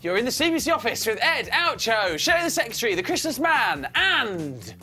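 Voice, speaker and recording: A man's voice: very high-pitched, very animated, moderate pace, music in the background. A young man in his twenties presenting children's TV in a TV studio, playful and mock-indignant. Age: 20s. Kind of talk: lively scripted studio presenting. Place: indoor TV studio set.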